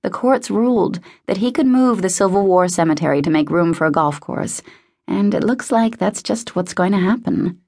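Woman voicing a gentle, patient innkeeper woman. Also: medium pitch, moderate pace, no echo, clean studio sound, natural voice. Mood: gently firm. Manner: mild, gentle, diplomatic.

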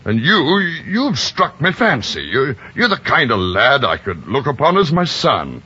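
An actor playing a gruff, lonely middle-aged merchant, blunt and earnest, in old-fashioned rustic speech and radio drama style. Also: male voice, medium pitch, wildly swooping pitch, measured pace, sharp tone, heavily acted. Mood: pleading, fond. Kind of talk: 1940s radio acting. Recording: dull vintage radio recording.

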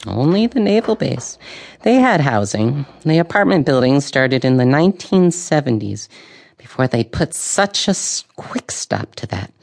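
An actress playing a sharp, gossipy old woman in an audio drama, with a gravelly voice and a knowing, dryly opinionated way of talking. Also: a bass voice, very animated, unhurried, full character voice. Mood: knowing, tart. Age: older.

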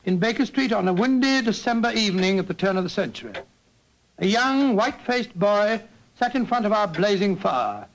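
An actor playing an elderly, genial retired English doctor telling stories in an avuncular, hesitant old-fashioned way on a 1940s radio drama. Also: male voice, high voice, expressive, moderate pace, gravelly. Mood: grave storytelling. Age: older. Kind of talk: 1940s radio acting, storytelling. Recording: noisy vintage 1940s radio recording.

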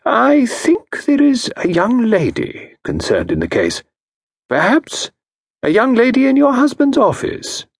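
A man voicing a calm, self-assured middle-aged consultant who speaks in a measured, soothing way. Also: very high voice, creaky, slightly rough, dry recording, studio quality, pitched above the performer's own voice, full character voice.

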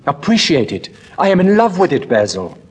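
A man's voice: on the high side, huge pitch swings, brisk, slightly rough, voice pushed up, heavily acted. A man voicing a vain, emotional young man in a storybook reading, speaking in an impassioned, pleading way.

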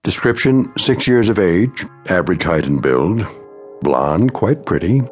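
Deep ominous narrator for eerie radio show, measured, bit of music under. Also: male voice, big pitch swings. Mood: ominous, wry. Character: grave, wry anthology narrator of eerie tales. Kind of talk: scripted radio drama narration.

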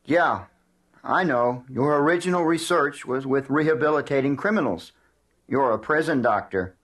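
A man's voice: medium pitch, normal speed, fairly bright tone, lightly acted. A man playing a put-upon, resigned middle-manager husband in an audio drama, weary and defensive. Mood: hesitant.

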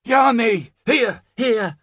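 A man's voice: very high-pitched, animated, drawn-out words, a bit bright, no echo, clean studio sound, pitched above the performer's own voice, full character voice. A man voicing a frantic melodramatic old woman. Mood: urgent. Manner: melodramatic, anxious, wailing. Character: frantic fretful older woman who runs an orphanage laundry.

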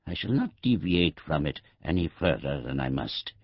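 An actor playing a dying old man in an audiobook monologue, gravelly and husky, musing wryly in halting phrases. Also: very deep voice, very animated, no echo, muffled recording, full character voice. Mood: wry, deliberating. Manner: halting, ruminative, self-correcting in short phrases. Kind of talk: scripted audiobook narration, first-person literary monologue.

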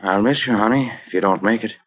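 A man playing an affectionate, gentle Broadway leading man and fiancé, in 1940s radio drama style. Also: fairly low pitch, fast talker, breathy edge. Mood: tender. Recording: dull old-time radio recording.

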